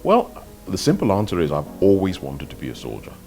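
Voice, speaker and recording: An older man from Nigeria, an author answering interview questions in a measured, articulate way, often repeating words as he thinks. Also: low-pitched, wildly swooping pitch, warm tone, soft background music. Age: 50s or older. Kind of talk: reflective interview talk.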